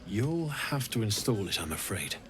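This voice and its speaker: An actor voicing a friendly, curious infernal mechanic and weaponsmith in a fantasy video game, speaking frankly and expressively. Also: male voice, lowish voice, very animated, moderate pace, fairly bright tone, slight background noise. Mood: fascinated. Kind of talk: video game character acting. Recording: game audio with music behind. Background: music.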